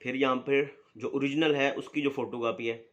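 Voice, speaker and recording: A man's voice: medium pitch, fairly even intonation, normal speed. A man lecturing on law. He explains step by step, asks a question and then answers it himself. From Pakistan. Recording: dull lo-fi recording.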